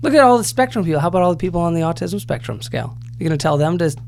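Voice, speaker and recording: A man co-hosting a casual advice podcast as a therapist, easygoing and teasing, with a slightly creaky voice. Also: on the high side, big pitch swings, racing, a little mellow, a little hiss or hum.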